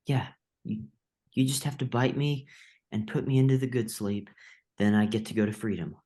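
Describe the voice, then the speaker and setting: A man's voice: fairly low pitch, normal speed, warm tone, close to an everyday voice. A man playing a naive, earnest young pet mouse in an audio drama, with a hesitant, pleading manner. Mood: earnest, hopeful.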